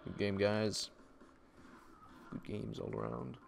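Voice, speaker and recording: A man streaming a game, hoarse and losing his voice, chatting casually and answering his viewers. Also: fairly low pitch, level tone, takes it slowly, noisy background. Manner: reads chat aloud and answers viewers. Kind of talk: casual live-stream commentary.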